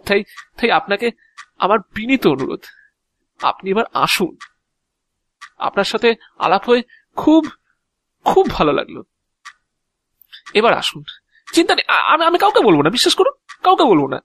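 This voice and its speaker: A man's voice: sits high, huge pitch swings, normal speed, pauses often, fairly bright tone, no echo, studio quality, heavily acted. A man playing an agitated, exasperated householder in an audio drama, stammering and sarcastic as he confronts an intruder. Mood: weary, pleading, then desperate.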